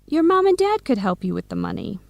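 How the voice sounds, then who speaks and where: A practical young woman who gives her friend frank advice in a radio drama. Her intonation swings in a lively way, and the sound is very dry. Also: high-pitched, fairly quick, vocal fry, no room sound, heavily acted. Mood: firm, advising. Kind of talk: radio drama acting for English learners.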